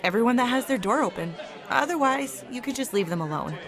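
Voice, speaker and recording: An actress playing a friendly, easygoing hospital desk worker in an audio drama, welcoming and conversational. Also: female voice, fairly high pitch, expressive, brisk, fairly bright tone. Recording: chatter of people behind voice.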